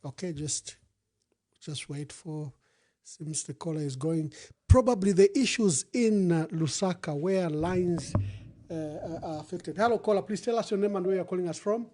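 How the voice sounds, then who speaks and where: This is a Zambian man working as a phone-in host. His voice sits high for a man, with a mellow, rounded tone. He speaks as a live presenter fielding calls and questions. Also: normal speed. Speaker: radio call-in host.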